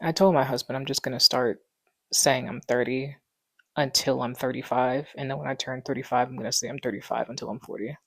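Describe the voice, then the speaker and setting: Gravelly-voiced young woman chatting casually. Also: a bass voice, fairly quick, no echo, rough recording. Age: 20s. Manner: relaxed rambling, many fillers. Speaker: vlogger. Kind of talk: casual chat. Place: living room at home. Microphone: wireless clip mic.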